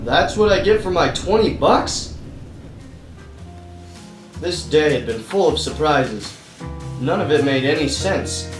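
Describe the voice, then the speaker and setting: In a roomy, distant recording with music behind it, a man acts out a cocky, bumbling private eye from an old-style detective radio play. He talks in self-assured slang and wisecracks, in a fairly high male voice. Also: expressive, slow, long pauses. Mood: indignant. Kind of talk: noir radio-play narration and dialogue.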